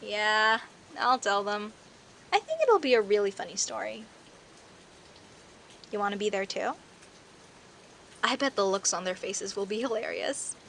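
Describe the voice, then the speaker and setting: Woman acting a bright, unhurried, teasing werecat neighbor. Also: mid-range voice, expressive, vocal fry, a little hiss or hum. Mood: amused, friendly. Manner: playful, conversational, with hesitant pauses and theatrical emphasis. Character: friendly teasing young werecat woman, chatty neighbor telling her story. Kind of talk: audio roleplay, casual one-sided conversation with the listener.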